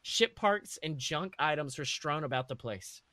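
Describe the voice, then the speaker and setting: Higher-pitched man reading a story aloud. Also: expressive, a little slow, bright, forceful tone, dry recording. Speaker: podcast host reading fan fiction aloud. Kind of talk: comedic story narration.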